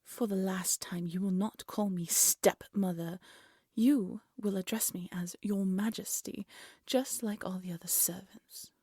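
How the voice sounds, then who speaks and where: A woman playing a haughty, cruel evil queen in a fairy-tale audio drama, speaking in an imperious, condescending way with a breathy voice. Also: medium pitch, fairly even intonation, measured pace, mellow, no room sound, clean studio sound. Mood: imperious, scolding. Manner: imperious, scolding, condescending, theatrical.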